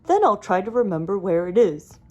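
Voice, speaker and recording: A woman's voice: medium pitch, sing-song, a little fast, darkish tone, lo-fi sound. A woman voicing a forgetful, eager young boy character in a children's storybook, earnest and exclaiming.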